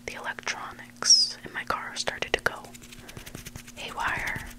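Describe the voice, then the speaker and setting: An ASMR host whispering scary stories in a hushed, unvoiced voice, close to the microphone. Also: slow pace. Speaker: ASMR host reading listener-submitted stories. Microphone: close microphone made for headphone listening.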